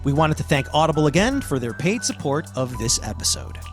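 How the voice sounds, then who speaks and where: A man's voice: on the high side, sing-song, brisk, soft background music. A man hosting a podcast discussion as a cultural critic, speaking in an effusive, emphatic way with repeated phrasing.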